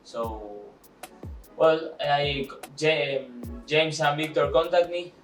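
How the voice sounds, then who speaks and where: A man in his twenties from Argentina with an Argentine Spanish accent, speaking English as a second language with frequent restarts and "eh" fillers in a documentary interview. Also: mid-range voice. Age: 20s. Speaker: university soccer midfielder. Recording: echoey room, distant mic, faint music.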